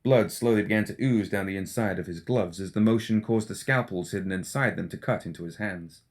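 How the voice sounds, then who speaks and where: A man's voice: lowish voice, a little fast. A man reading scripted fiction as an audiobook narrator. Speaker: audiobook reader.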